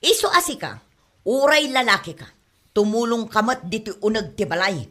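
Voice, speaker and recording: A woman with an Ilocano accent playing a weary, scolding middle-aged mother in an audio drama, with a husky voice. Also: fairly high pitch, animated, moderate pace, sharp tone. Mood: exasperated, weary.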